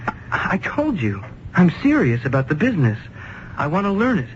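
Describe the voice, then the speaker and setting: Give me a persistent young businessman with a lively, slightly high voice on a muffled old radio recording. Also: normal speed. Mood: worried. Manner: earnest, persistent, animated. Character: earnest young nephew learning the business. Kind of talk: 1970s radio drama acting. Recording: dull, faintly hissy old radio recording.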